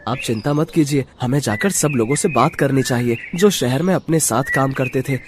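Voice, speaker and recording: A man playing a loyal, devoted orphan youth who helps at a hotel, respectful and earnest, in an animated story. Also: medium pitch, normal speed, background noise, close to an everyday voice. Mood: reassuring. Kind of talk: animated moral-story dialogue.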